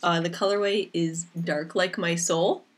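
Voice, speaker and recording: A young woman hosting a knitting podcast from home, chatting casually to her laptop with lots of ums, her voice a little raspy from a lingering cough. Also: on the low side, steady tone, a little slow, a little hiss or hum. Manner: chatty and rambling, with many ums. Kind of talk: casual chat to camera. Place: small room at home, indoors. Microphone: laptop camera mic. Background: rain outside.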